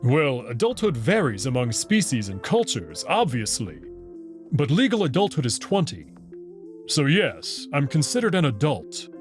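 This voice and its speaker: A man's voice: medium pitch, huge pitch swings, measured pace, faint music behind. A man voicing a big, young male alien wolf, caring and playful, in a visual novel. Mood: earnest, concerned. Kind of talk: visual novel character dub.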